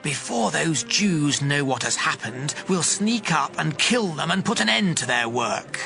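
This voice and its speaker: A man's voice: fairly high pitch, lively intonation, a little slow, creaky, sharp tone, soft background music, heavily acted. A man playing a menacing enemy conspirator, speaking in a threatening, plotting way. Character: menacing male enemy plotting a murderous ambush.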